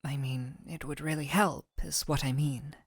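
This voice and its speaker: A woman playing a gentle, teasing doctor in an intimate fantasy audio roleplay, speaking softly and affectionately, close to the microphone. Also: sits low, fairly even intonation, moderate pace, no echo. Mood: tender, shy. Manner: soft, breathy near-whispers, affectionate teasing.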